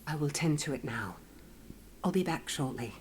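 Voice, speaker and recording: An actress playing a formal, stately healer woman in a fantasy video game, with measured delivery. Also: low voice, animated, noisy background. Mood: haughty, conceding. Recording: echoey, long reverb, faint music.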